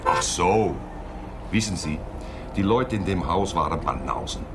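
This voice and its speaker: An actor playing a blunt, contented gardener in a radio play, unbothered and scornful of other people's taste. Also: male voice, low-pitched, animated, unhurried, music in the background. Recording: car and traffic noise behind. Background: car, horn.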